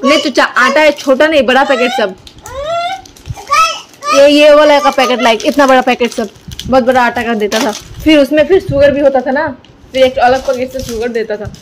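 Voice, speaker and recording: A woman's voice: high-pitched, fairly even intonation, normal speed, background noise. A young woman chatting casually to viewers at home while she unboxes groceries with her child, in a loose, repetitive way. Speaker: vlogger and mother. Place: indoors at home.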